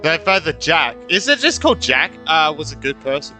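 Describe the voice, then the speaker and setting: A casual game streamer reacting aloud during play. The voice is bright and swings widely in pitch, with muttered asides and swearing. Soft game music runs underneath. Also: sing-song, moderate pace. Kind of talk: casual gameplay commentary.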